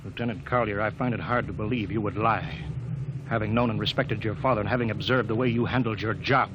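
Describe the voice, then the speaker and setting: A man's voice: lowish voice, steady tone, quick pace, highs cut off, close to an everyday voice. A man playing a stern male spaceship captain who gives blunt, clipped orders in a 1950s radio drama. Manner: clipped orders, turning to urgent shouting. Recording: very noisy old radio broadcast.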